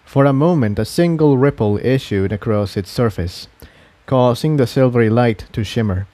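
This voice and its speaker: A man narrates an adventure story in a mellow, relaxed voice of middling pitch. The delivery is scripted narration, and the sound is close and dry. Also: normal speed, no room sound.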